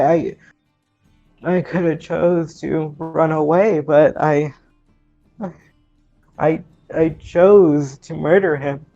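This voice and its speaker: Guilt-ridden teenage orphan girl played by a man, tabletop roleplay; slow, stammering confession, creaky voice, muffled recording with music underneath. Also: fairly high pitch, warm tone. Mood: guilty, hesitant. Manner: halting, stammering, repeats words.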